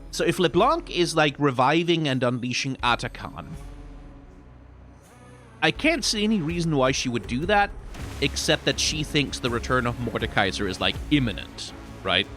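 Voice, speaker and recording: A man's voice: medium pitch, expressive, normal speed, a bit bright. Man rambling through casual commentary over music. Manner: rambling, thinking aloud, often checks with "right?". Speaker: streamer giving commentary on game lore. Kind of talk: casual off-the-cuff analysis.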